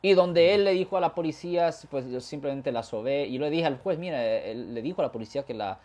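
Talkative California-born lawyer explaining law. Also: male voice, fairly high pitch, lively intonation, fairly quick, darkish tone. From California, USA. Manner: explains at length, mixes English words into Spanish. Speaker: criminal defense lawyer. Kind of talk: conversational legal explanation.

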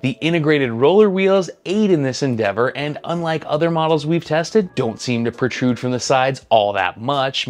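A man in his thirties giving a scripted gear review in a dry, matter-of-fact, slightly sardonic manner, recorded on a lapel mic indoors. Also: mid-range voice.

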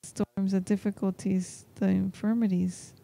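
A woman giving a live spoken translation of a homily as an interpreter, in halting phrases. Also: mid-range voice, flat delivery, vocal fry, warm tone. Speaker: interpreter voicing a priest's homily in English.

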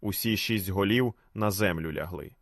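A man narrating a fairy tale as a storyteller, in an expressive, scripted reading. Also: sits low, moderate pace, no echo, studio quality.

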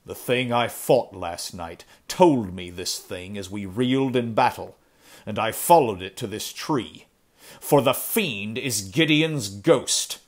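A grim, somber avenger, read by a male storyteller in a lowish voice that stays even. He declaims old-fashioned speech with stern weight, pressing hard on the key words. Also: slow pace. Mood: solemn. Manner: solemn, archaic, declamatory speech.

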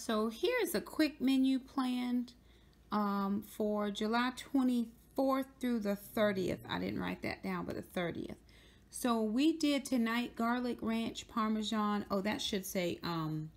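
A woman's voice: mid-range voice, slight background noise. A woman, chatting casually as she narrates a home video, with fillers and self-corrections. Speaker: vlogger. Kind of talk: casual grocery haul narration. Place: home kitchen.

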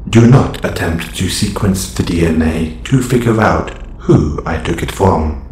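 Robot superhero played by a male actor, audio roleplay. Deep, formal, measured and composed, echoey space with faint noise. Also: darkish tone. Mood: firm, guarded. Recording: roomy echo, slight background noise.

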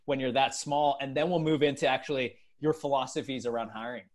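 A man's voice: mid-range voice, fairly even intonation, fairly quick. A man in his thirties hosting a conversational business interview over a video call on earbuds. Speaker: interviewer and host. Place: small bright room at home, on a video call. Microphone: wired earbuds on a video call.

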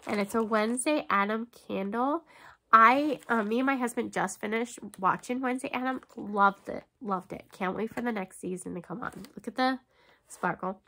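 Chatty, stuffy-nosed woman with a gravelly edge. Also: fairly high pitch, normal speed. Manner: chatty and excitable, many ums and restarts. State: stuffy nose. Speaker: book box unboxing vlogger. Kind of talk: casual unboxing chat. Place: bookshelf-lined room at home.

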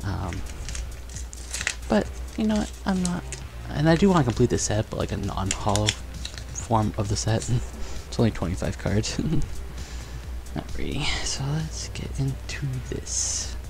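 A young man chatting casually and excitedly while opening trading cards in a bedroom, on a headset mic. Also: lowish voice, unhurried, vocal fry, music in the background. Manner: upbeat, chatty, excited exclamations. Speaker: card collecting vlogger. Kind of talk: casual unboxing chat. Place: bedroom studio, indoors.

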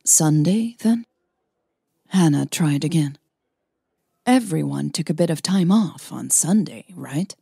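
Woman podcast narrator, kinda tired, unhurried with a slightly husky voice. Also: low voice, lively intonation, mellow, no room sound, studio quality. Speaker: podcast host and audio story narrator. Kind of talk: scripted romance narration and casual podcast outro.

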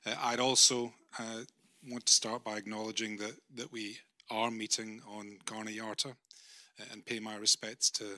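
Halting man with a handheld mic. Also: on the low side, level tone, pushed and bright. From not Australia. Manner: hesitant, many ums and restarts. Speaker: university vice-chancellor. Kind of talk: formal vote of thanks speech. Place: lecture room, indoors. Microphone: handheld microphone.

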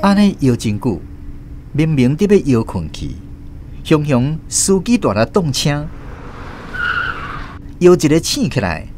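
A man with a Taiwanese accent giving expressive scripted narration as the host of a radio drama. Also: on the high side, unhurried, pauses often, background noise.

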